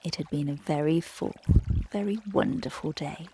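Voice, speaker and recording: A woman guiding children through a relaxation and bedtime story in a gentle, soothing, breathy voice that often drops close to a whisper. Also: deep voice, normal speed, slight background noise. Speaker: meditation guide and bedtime storyteller.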